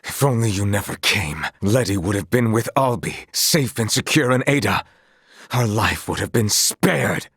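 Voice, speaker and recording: Young noble knight, low husky voice, earnest, guilt-ridden, courtly archaic diction, fantasy game drama. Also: male voice, lively intonation, moderate pace, fairly bright tone, no echo, studio quality, full character voice. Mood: grieving, remorseful. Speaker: voice actor in a video game.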